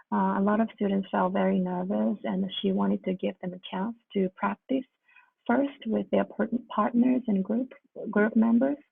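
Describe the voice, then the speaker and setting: Husky woman, phone-like sound. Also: mid-range voice. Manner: halting, hesitant delivery with repeated phrases. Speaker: graduate student presenter. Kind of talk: academic presentation. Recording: muffled phone-like line, roomy echo.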